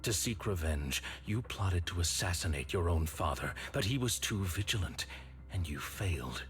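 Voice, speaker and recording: An actor playing a calm character who accuses another of murder, speaking coolly in a video game cutscene. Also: male voice, low voice, moderate pace, soft background music. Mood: accusing. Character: cool accuser laying out a crime.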